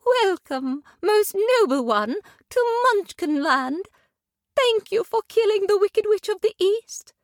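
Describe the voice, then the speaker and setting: A woman voicing a gentle, graceful good witch who speaks tenderly and calmly in a children's radio story. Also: very high voice, takes it slowly, no echo, studio quality, pitched above the performer's own voice, heavily acted. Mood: welcoming. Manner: tender, calm and lilting.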